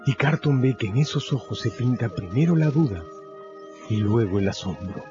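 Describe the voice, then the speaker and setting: A man narrating a radio drama in a measured, solemn, dramatic scripted style. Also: medium pitch, expressive. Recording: dull recording, loud music and crowd behind.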